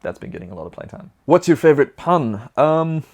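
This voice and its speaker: A man's voice: a little high, sing-song, a little fast, darkish tone. A young man from Belgium with a Flemish accent, a native Dutch speaker, answering viewer questions in a chatty, upbeat and rambling way. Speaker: vlogger.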